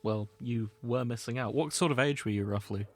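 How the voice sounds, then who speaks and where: A man's voice: mid-range voice, brisk, no echo. A man hosting a casual podcast, joking and teasing with his friends. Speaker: podcast host.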